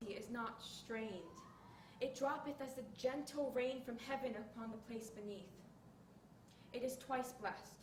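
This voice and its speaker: A teenage girl playing a poised young noblewoman, reciting a measured, earnest speech on mercy, recorded from afar in an echoey hall. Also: on the high side, steady tone, close to an everyday voice. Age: teens. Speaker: student in a monologue contest. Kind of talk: stage monologue recitation. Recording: echoey room, distant mic, faint hiss.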